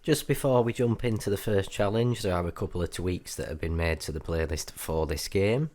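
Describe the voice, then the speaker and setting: A man with a slightly croaky voice from a cold, giving a chatty, upbeat voiceover commentary over gameplay as a gaming channel host. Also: low-pitched, a little fast, a little mellow. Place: voiceover recorded apart from the gameplay.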